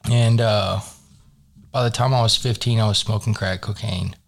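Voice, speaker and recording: Low-voiced man in his thirties. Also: gravelly. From grew up in North Carolina. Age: 30s. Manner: relaxed, halting, many fillers. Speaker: guest in recovery. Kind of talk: casual interview. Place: studio.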